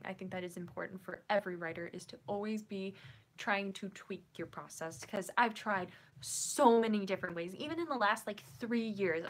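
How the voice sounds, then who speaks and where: A woman's voice: medium pitch, a bit bright. A young woman with a Minnesotan accent, hosting a casual writing livestream and chatting with her viewers in a bubbly, giggly, rambling way. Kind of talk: casual chat reading viewer comments.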